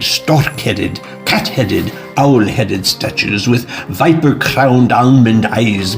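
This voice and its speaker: A man narrating a literary audio drama in a measured, formal, descriptive manner. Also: mid-range voice.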